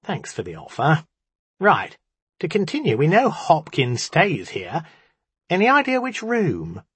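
A one-eyed rat-spirit who challenges everything, read by a male narrator. The storybook voice is very husky and jumps about in pitch, dismissive and sceptical. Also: wildly swooping pitch, measured pace, fairly bright tone, no echo, heavily acted. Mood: brisk.

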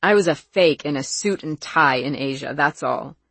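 Audiobook role, read by a woman: withdrawn young man in grief, low and tight, bitterly emphatic, dry recording. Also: normal speed, a bit bright, breathy edge, no echo, full character voice. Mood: dismissive, frustrated. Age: young adult. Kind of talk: audiobook character voice.

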